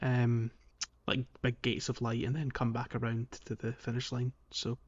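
A man's voice: mid-range voice, completely flat delivery, moderate pace, muffled recording. A man co-hosting a gaming podcast, talking in a loose, conversational way. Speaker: podcast co-host. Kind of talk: casual gaming podcast chat. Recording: music behind the voice. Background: instrumental music.

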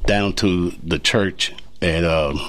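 A man guest on a local talk show, explaining an event in a plain, unhurried, halting way. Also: deep voice, a bit bright. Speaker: civic group president, guest.

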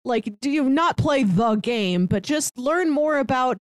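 A woman's voice: high-pitched, animated, unhurried, no room sound. An American woman co-hosting a movie review podcast in a casual, chatty, lightly humorous way. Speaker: podcast co-host.

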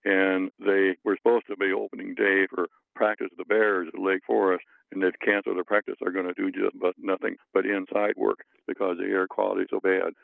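Gravelly man on a phone-like line. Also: low-pitched, level tone, a little fast, no room sound. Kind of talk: casual chat. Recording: muffled phone-like line, radio-like.

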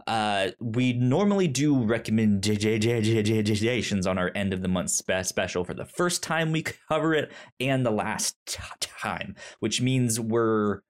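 A man hosting a podcast in casual conversation, halting and often stammering over repeated words. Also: lowish voice. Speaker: podcast host.

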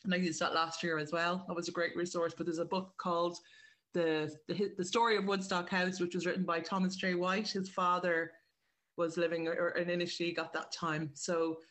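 A woman's voice: on the low side, level tone, moderate pace, a bit bright. An Irish woman chatting as an interview guest, explaining her work in a wandering, self-correcting way. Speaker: writer and director, interview guest. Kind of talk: casual interview chat.

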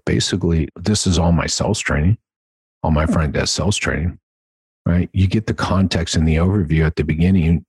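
Deep, husky-voiced male podcast coach. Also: no echo. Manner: emphatic, conversational, checks in with "right?". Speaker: podcast host and sales trainer. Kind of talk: instructional podcast monologue.

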